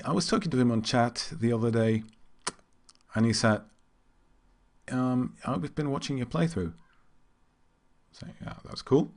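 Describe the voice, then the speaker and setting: A man from Germany, an English speaker, giving casual and somewhat halting gaming commentary. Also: medium pitch, a little mellow. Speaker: game streamer. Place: indoors at a computer.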